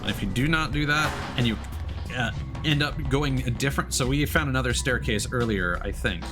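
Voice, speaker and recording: A man's voice: medium pitch, fairly quick, music in the background. A man giving casual, playful commentary as he livestreams a video game. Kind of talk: casual let's play commentary with on-screen text read aloud.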